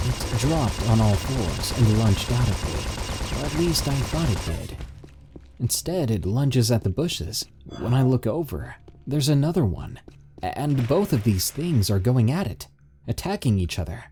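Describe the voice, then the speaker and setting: A man reading submitted encounter stories aloud in steady, measured scripted narration. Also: medium pitch, noisy background.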